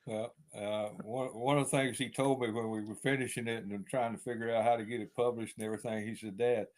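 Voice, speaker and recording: An older man with a deep East Texas accent, an author chatting as a guest in an interview, rambling with many fillers and restarts. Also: steady tone, fairly quick. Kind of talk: casual interview chat.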